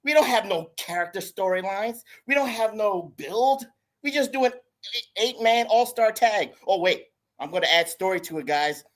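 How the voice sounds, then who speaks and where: Hoarse opinionated man ranting. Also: high-pitched, expressive, moderate pace, fairly bright tone. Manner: opinionated, talks straight to listeners. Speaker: podcast co-host. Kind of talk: casual wrestling chat.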